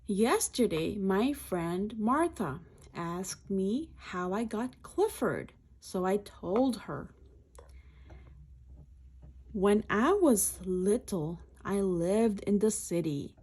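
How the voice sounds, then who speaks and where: A woman's voice: medium pitch, expressive, drawn-out words, a little mellow, slightly rough. A woman reading a children's picture book aloud in short, simple phrases, adding explanatory asides.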